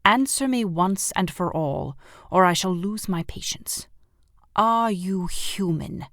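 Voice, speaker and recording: A woman's voice: fairly low pitch, slow, a bit bright, no echo, clean studio sound. A woman voicing a haughty, impatient, menacing witch queen for a children's storybook. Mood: impatient, threatening. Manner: imperious, demanding. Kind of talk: children's audiobook character voice.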